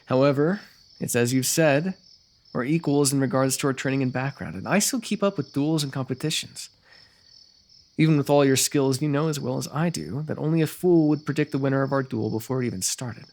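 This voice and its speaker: A man's voice: mid-range voice, a little fast, a little mellow, natural voice. A man playing a confident, teasing royal rival turned ally in a fantasy roleplay audio, speaking in formal, wordy, playfully goading phrases. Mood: confident, measured.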